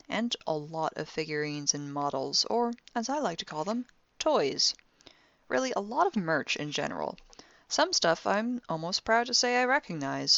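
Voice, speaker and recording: A woman's voice: low-pitched, a bit bright, dry recording, dull audio. A woman playing a wry, impulsive young amateur detective, recording a spoken log in an audio drama with sardonic, theatrically dry conversational delivery. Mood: smug, conspiratorial.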